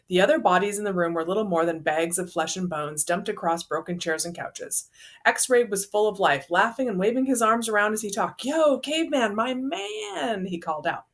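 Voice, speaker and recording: A woman's voice: lowish voice, a little fast. Woman reading a novel aloud. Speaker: read-aloud narrator. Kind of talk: children's novel read aloud.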